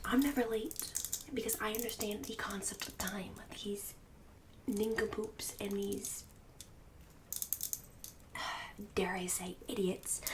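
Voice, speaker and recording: A woman acts out a condescending suburban mom for an ASMR roleplay, boastful and pushy under a sugary sweetness. Her mid-pitched voice often slips into whispers, picked up at a distance over clear background noise and clicks. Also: close to an everyday voice. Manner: condescending, fake-sweet, snide, passive-aggressive. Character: Karen, pushy, boastful, condescending suburban mother hosting book club.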